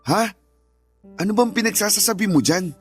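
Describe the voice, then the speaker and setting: Casting: actor as evasive, exasperated husband, slightly high male voice, curt and dismissive radio drama delivery over music. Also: normal speed, close to an everyday voice. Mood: dismissive, defensive. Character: irritable, evasive, dismissive husband, tired from work.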